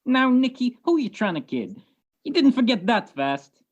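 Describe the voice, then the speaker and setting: A gruff, wisecracking homicide sergeant , acted by a woman, from an old detective radio play. The delivery swings widely in pitch, with blunt slang and sardonic jabs at the suspects. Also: very animated, normal speed, darkish tone, muffled recording. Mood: accusing, mocking. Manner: sardonic, slangy, blunt tough-cop talk. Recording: video call recording.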